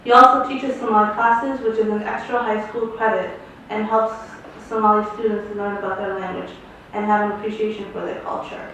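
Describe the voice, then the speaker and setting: A woman's voice: medium pitch, level tone, brisk, hardly any pauses, a little hiss or hum. A woman presenting an awards ceremony, reading a formal introduction of the honoree aloud. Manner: formal reading, loud announcing. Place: large conference hall. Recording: distant, echoey, dull hall sound.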